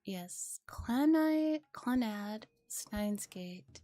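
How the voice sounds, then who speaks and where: A woman streamer with a soft, breathy voice, reading and chatting gently in a relaxed ASMR style, at times in a whisper. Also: mid-range voice, drawn-out words, no room sound, soft background music. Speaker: streamer hosting visual novel readings.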